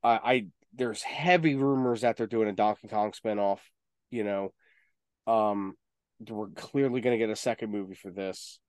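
A man's voice: fairly low pitch, moderate pace, clear, resonant tone, dry recording. A man chatting casually as a podcast co-host, informal and rambling, given to swearing. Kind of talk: casual chat about video game movies.

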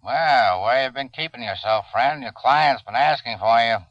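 A man's voice: mid-range voice, moderate pace, fairly bright tone, no room sound, natural voice. An American man acting a skeptical, slangy city police sergeant in an old radio drama. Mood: sardonic, skeptical. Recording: dull vintage radio recording.

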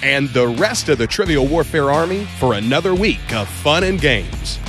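A man announces a podcast intro from a script. His voice moves animatedly up and down and sits a little high. Clear guitar music plays behind him. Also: expressive, normal speed. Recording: music under the voice.

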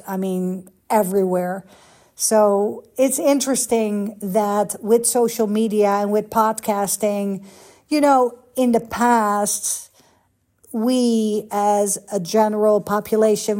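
Middle-aged Dutch-accented woman, podcast host, soft mellow tone, friendly pep-talk delivery. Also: mid-range voice. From Netherlands. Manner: chatty, encouraging, direct address to listeners. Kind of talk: casual solo podcast monologue.

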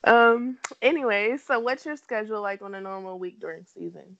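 A woman's voice: medium pitch, lively intonation, moderate pace, fairly bright tone, muffled recording. Playful teasing woman host. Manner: teasing, upbeat, playful questions. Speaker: podcast host. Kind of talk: casual interview chat.

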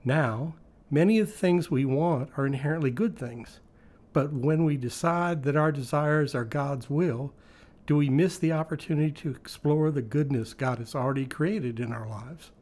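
A man's voice: fairly high pitch, moderate pace, darkish tone. A man reading a scripted devotional in a reflective way, often posing rhetorical questions. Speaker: devotional reader.

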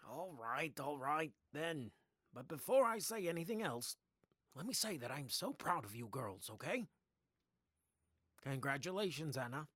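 Husky, flustered male schoolteacher. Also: a little high, big pitch swings, unhurried, fairly bright tone, dry recording, clean studio sound, exaggerated voice. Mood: proud. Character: flustered, kindly adult male middle-school teacher. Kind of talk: audio play acting.

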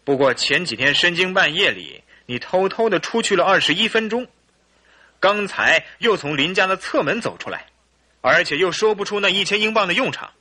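An actor playing a suspicious, anguished husband in a radio drama, with a husky, earnest and theatrical delivery. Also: male voice, high voice, lively intonation, normal speed, bright, forceful tone, heavily acted. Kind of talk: Mandarin radio drama acting and storytelling.

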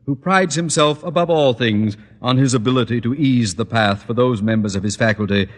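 An actor playing a pompous, blustering older school principal with florid, theatrical delivery in an old-time radio comedy. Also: male voice, mid-range voice, brisk, natural voice. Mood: pompous, solicitous. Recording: old radio broadcast, reverberant hall.

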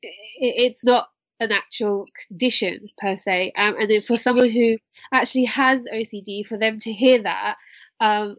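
A young woman explaining earnestly and conversationally as a podcast guest, often softening her phrases as she goes. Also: medium pitch, dry recording, rough recording. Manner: earnest, explanatory, hedging with "sort of". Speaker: podcast guest, mental health worker.

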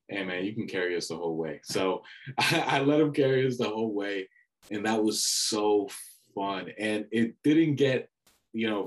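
A man's voice: fairly low pitch, low-quality recording. Chatty young man retelling a game. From Dominican family background. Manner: animated storyteller with many restarts and fillers. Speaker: student radio sports broadcaster. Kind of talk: casual reflective chat.

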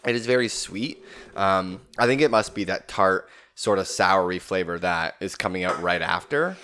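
A man co-hosts a podcast in easygoing chat, his voice sitting a little low. He talks a lot and rambles, with plenty of fillers and restarts. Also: moderate pace, bright, forceful tone. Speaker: podcast co-host. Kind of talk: casual chat.

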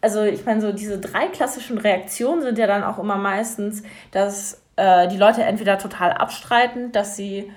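A young woman co-hosts a radio talk show and steers the talk from topic to topic. She speaks in a relaxed, casual way with dry, wry remarks. Her voice sits in a middle pitch. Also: normal speed, hardly any pauses.

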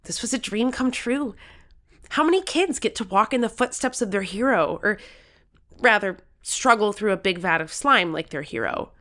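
A woman's voice: mid-range voice, lively intonation, moderate pace, pushed and bright. A woman playing an eager, competitive thirteen-year-old girl who tells her own story in an audio drama. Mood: eager storytelling. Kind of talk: first-person audio drama narration.

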